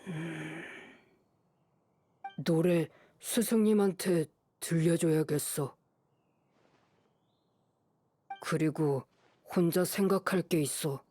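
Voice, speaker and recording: A woman voicing a reserved, earnest wild boy with halting, simple speech in an anime game. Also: low-pitched, dark tone, husky, no room sound, full character voice. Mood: thoughtful. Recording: music faintly behind the voice.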